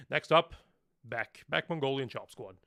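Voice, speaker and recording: A man's voice: mid-range voice, takes it slowly, creaky, sharp tone. A young man from Norway, a native Norwegian speaker talking in English, chatting casually on a live stream, rambling and laughing often.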